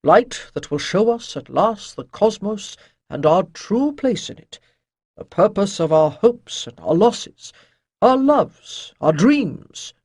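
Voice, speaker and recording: A narrator voicing a wise old male priest in a fantasy storybook, calm and gently chiding, with measured, weighty delivery. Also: medium pitch, breathy edge, no echo, studio quality, pitched above the performer's own voice, full character voice. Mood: uplifting. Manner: measured, declamatory, pausing for weight.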